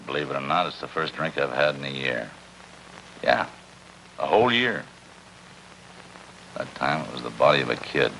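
An extremely deep, creaky American male voice playing a weary, grimly philosophical diver who drinks alone. The tone has a forceful edge, on a noisy 1950s TV drama soundtrack. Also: animated, normal speed, pauses often, exaggerated voice. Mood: haunted. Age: middle-aged. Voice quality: gravelly.

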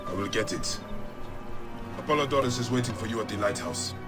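A man's voice: fairly low pitch, brisk, over loud music, close to an everyday voice. An actor playing a stoic, determined male warrior in an ancient Egypt video game, speaking tersely and directly. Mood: businesslike.

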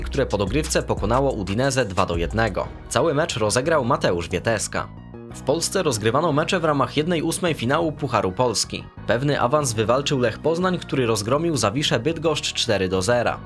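A young man hosting a football news show, reading scripted reports in a brisk, even newsreader manner from a small room. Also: mid-range voice, faint music behind. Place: small room with brick wall and shelves.

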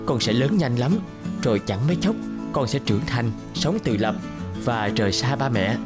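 Guy playing a loving cartoon dad, gentle and reflective, music behind him. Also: medium pitch, expressive, normal speed, lightly acted. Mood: tender. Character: loving, thoughtful father of a young boy. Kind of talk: children's cartoon voice acting.

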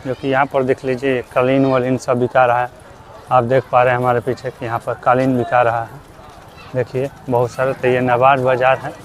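A young man from Bihar narrating a walking vlog at an outdoor crafts fair on a lapel mic, repeating himself and loudly asking vendors for prices. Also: mid-range voice, monotone, fairly quick, a little hiss or hum. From Bihar, India. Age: young adult. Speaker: vlogger. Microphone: clip-on lapel mic.

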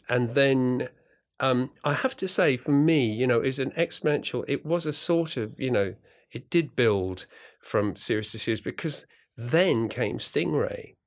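Enthusiastic middle-aged man, rambling chat. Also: medium pitch. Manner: enthusiastic, rambling with many restarts; playful, jokey. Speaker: guest, radio drama writer and director. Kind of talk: casual conversation.

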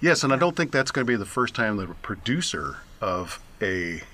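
A man speaking as a talk show guest, explaining things in a measured way. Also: fairly low pitch, very animated, sharp tone.

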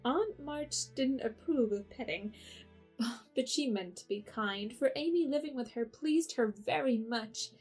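A woman narrates a period story in measured storybook style. Her voice sits fairly high, with faint music beneath it. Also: darkish tone, husky. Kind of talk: scripted narration.

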